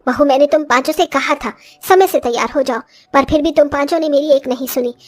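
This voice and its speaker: A woman voicing a short older village mother-in-law in a cartoon story, complaining and exclamatory but grudgingly soft-hearted. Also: sits high, a little fast, lightly acted. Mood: scolding. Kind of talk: Hindi cartoon story voice acting.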